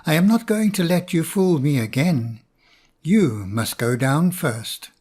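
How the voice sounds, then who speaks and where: A man's voice: fairly high pitch, expressive, a little slow, darkish tone, slightly rough. A man reading as a greedy, hot-tempered bear. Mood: demanding.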